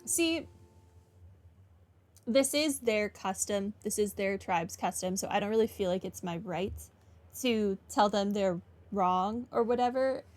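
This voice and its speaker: A woman's voice: medium pitch, darkish tone. A woman giving casual commentary on a game playthrough, wryly thinking aloud. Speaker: gaming streamer. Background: game music.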